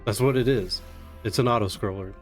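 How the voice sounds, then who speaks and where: A man's voice: fairly low pitch, a little fast. A man giving casual live commentary on a speedrun in a jokey, sarcastic way. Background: video game music.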